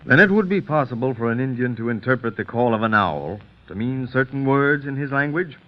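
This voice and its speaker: Trial lawyer, acted by a man: mid-range voice, formal and measured, old radio courtroom play, slightly dull sound. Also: medium pitch, expressive, close to an everyday voice. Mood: formal, assertive. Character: formal male trial lawyer questioning a witness. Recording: muffled vintage radio recording.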